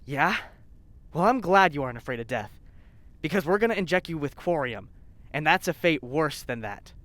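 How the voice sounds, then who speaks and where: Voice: mid-range voice, lively intonation, moderate pace, fairly bright tone, no room sound, lightly acted. An actor playing a zealous, spiteful scientist in an audio drama, bitter, sarcastic and insistent. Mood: menacing. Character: zealous, spiteful lab scientist named Colin. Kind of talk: scripted audio drama acting.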